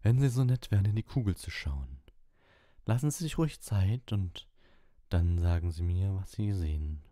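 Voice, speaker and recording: A man voicing a dreamy, airy older female divination teacher in an audiobook, with a gentle, cooing, coaxing manner. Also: low voice, expressive, moderate pace, no room sound, clean studio sound, pitched above the performer's own voice. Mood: soft, coaxing.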